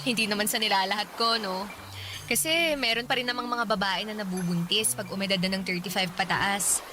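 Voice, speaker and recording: A woman's voice: mid-range voice, a little fast, noisy background, lightly acted. A woman playing a chatty, earnest young coworker in a Filipino radio drama, talking playfully and hopefully. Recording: music behind the voice.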